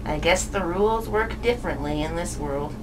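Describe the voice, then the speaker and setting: A woman's voice: lowish voice, normal speed, recorded from a distance, background noise, natural voice. A woman voicing a casual, puzzled young character in a puppet show, with matter-of-fact delivery.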